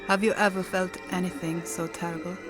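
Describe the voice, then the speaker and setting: A woman playing a haunted mining engineer suffering in the dark in an audio drama. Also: lowish voice, level tone, brisk, music in the background, close to an everyday voice. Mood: haunted, bitter. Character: haunted mining engineer enduring pain in darkness.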